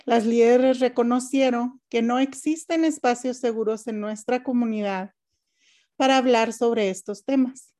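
A woman from Mexico hosting a community podcast, reading a scripted introduction and then moderating a conversation in a calm, measured way. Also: on the high side. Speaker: host.

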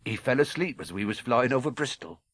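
A man's voice: mid-range voice, animated, brisk, fairly bright tone. A man voicing a huge, shaggy, tender-hearted giant who is humble, rustic and emotional, in an audiobook. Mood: respectful. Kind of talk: audiobook character voice.

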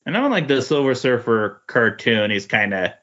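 A man's voice: medium pitch, expressive, normal speed, a bit bright. A man chatting casually as a podcast guest, hesitant and often trailing off, on a dull, lo-fi recording. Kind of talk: casual chat. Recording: dull, lo-fi sound.

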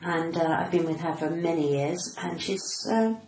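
Low-pitched cut-glass British woman, echoey room. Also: moderate pace, a little mellow, a little hiss or hum. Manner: cheeky, flirtatious. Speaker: comedian and actor guest. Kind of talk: casual interview chat.

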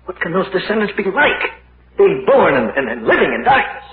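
An actor playing a frightened young explorer recounting his ordeal in an old-time radio horror drama, halting and dramatic. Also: high-pitched, big pitch swings. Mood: uneasy, questioning. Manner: dramatic, halting when afraid. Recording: muffled, noisy old radio recording.

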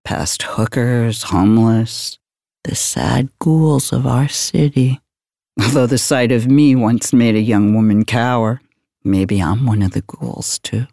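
An actor plays a world-weary older male detective in a noir audio drama. His voice is dark and soft-edged, and he speaks slowly, brooding in a deadpan way. The recording is close and dry. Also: mid-range voice, creaky, no echo. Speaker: police detective. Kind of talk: noir monologue, recollection.

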